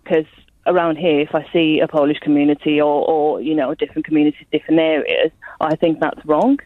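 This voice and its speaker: A woman in her twenties from Estonia, calling a radio phone-in and giving her view haltingly over a phone line. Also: low-pitched, steady tone, dry recording. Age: 20s. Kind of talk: conversational live opinion. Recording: tinny narrow phone line.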